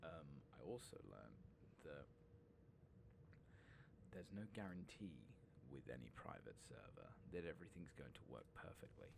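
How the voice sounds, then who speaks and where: A man giving soft-spoken ASMR game commentary, hushed and unhurried, often slipping into a whisper. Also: big pitch swings, background noise. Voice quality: gravelly, breathy low voice with whispering. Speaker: streamer and host. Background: video game ambience.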